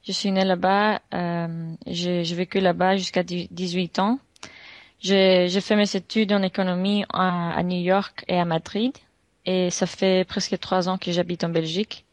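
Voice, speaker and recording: Halting woman. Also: fairly low pitch, little pitch movement, dry recording. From Honduras. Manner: halting, many restarts and fillers. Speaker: guest entrepreneur. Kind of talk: interview answers.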